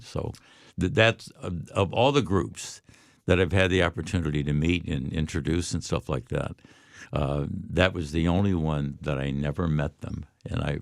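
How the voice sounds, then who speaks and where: Deep gravelly older radio man. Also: no room sound, clean studio sound. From Detroit. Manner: relaxed, rambling anecdotes with laughs. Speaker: radio veteran guest. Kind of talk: casual storytelling.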